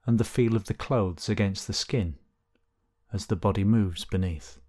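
Guy with a fairly low voice doing a guided meditation, gentle and unhurried, leaves long gaps. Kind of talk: guided body scan meditation.

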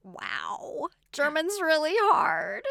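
A woman's voice: sits high, huge pitch swings, drawn-out words, creaky, bright, forceful tone. A woman hosting an interview, asking short, prompting questions. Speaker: interview host. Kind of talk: conversational interview.

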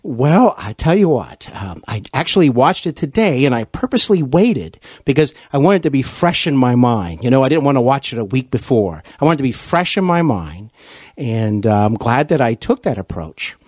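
A man's voice: mid-range voice, big pitch swings, normal speed, a little mellow, slightly rough, dry recording, clean studio sound. A man hosting a conversational interview, speaking in an effusive, complimentary way. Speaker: podcast host and interviewer.

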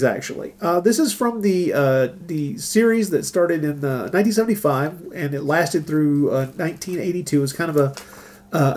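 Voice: mid-range voice, a little mellow. A middle-aged podcast host chatting casually and enthusiastically about old radio dramas.

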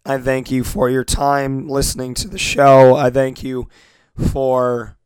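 Hoarse man hosting a sports radio show. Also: medium pitch, level tone, slow pace, darkish tone, dry recording. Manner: earnest, repetitive, grateful, many restarts. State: losing his voice, hoarse. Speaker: sports talk radio host. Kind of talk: solo internet radio show monologue.

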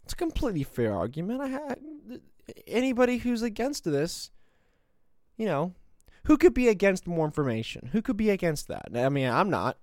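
A man's voice: high-pitched, big pitch swings, normal speed, a little mellow, no echo, clean studio sound. A man in his twenties hosting a solo podcast, talking in a sarcastic, combative, rambling way. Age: 20s. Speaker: podcast host. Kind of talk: opinionated solo commentary.

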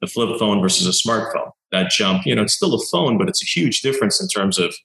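A doctor and founder in his thirties, telling stories in an animated way and acting out quoted exchanges, with lots of "you know" fillers. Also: on the low side, brisk, creaky, reverberant, rough recording. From Canada. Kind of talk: conversational interview answers.

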